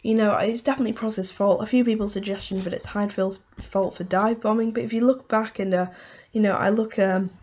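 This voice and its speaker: A woman with a hoarse voice from a cold, hosting a casual motorsport race review and rambling through it with lots of "um" and "you know". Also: mid-range voice, steady tone, brisk, hardly any pauses, darkish tone, muffled recording. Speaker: motorsport video host.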